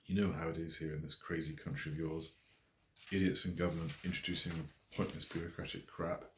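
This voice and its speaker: A man's voice: rumbling low, flat delivery, fairly quick, reverberant. A man voicing an earnest, mischievous woman farmer with a haughty, ranting manner in an audiobook story. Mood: wry, grumbling.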